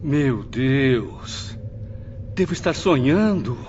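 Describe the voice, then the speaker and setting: A man's voice: medium pitch, lively intonation, extremely slow, a little mellow. A man acting an astonished, inquisitive spirit professor newly arrived, in an audio drama. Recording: dull lo-fi audio, faint noisy ambience.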